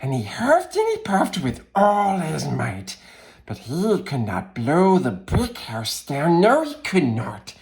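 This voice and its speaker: Casting: wretched gleeful cave creature reading a storybook, raspy, extremely animated pitch, slow and drawn out. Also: male voice, heavily acted. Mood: excited, theatrical. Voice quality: raspy, strained, swooping between growl and squeal. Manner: muttering asides to self, exclaiming, distorted pet words. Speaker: reader, host of the series. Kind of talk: theatrical children's storybook reading in character.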